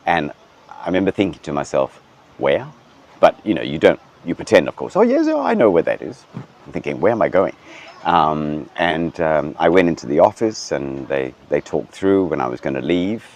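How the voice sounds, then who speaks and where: A middle-aged man from Denmark, a hotel general manager, speaking thoughtfully in a relaxed interview, with frequent ums and restarts. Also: extremely low pitch, moderate pace. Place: hotel grounds.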